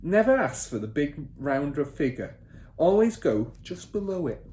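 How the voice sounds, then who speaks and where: A man voicing a boastful, bullying father and crooked car dealer in a children's storybook, loud, pompous and emphatic. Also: fairly high pitch, very animated, measured pace. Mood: smug, emphatic. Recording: roomy echo, faint background noise.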